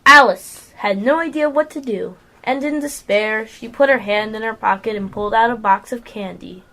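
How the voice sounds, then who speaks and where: A woman's voice: a little high, moderate pace, noisy background, close to an everyday voice. A female performer narrating a storybook tale in an amateur radio play, reading from a script.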